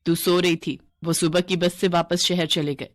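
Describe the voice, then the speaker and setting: A woman's voice: lowish voice, flat delivery, moderate pace, no room sound, natural voice. Woman playing a cruel, scolding village stepmother. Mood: scornful. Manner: sneering, taunting.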